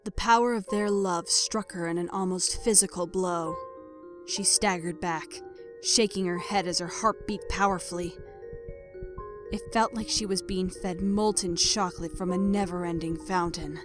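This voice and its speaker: Female narrator, slightly low register, dramatic story reading with hushed phrase endings. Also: fairly even intonation, normal speed. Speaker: narrator of a fan fiction audio production. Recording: background music under voice. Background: music.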